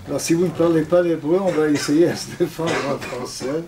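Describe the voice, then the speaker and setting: An older man, speaking French as an acquired language, gives a halting, careful talk to an audience. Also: fairly high pitch, a crowd in the background. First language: not French. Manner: halting, precise, with frequent pauses. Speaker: guest speaker. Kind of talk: lecture to an audience.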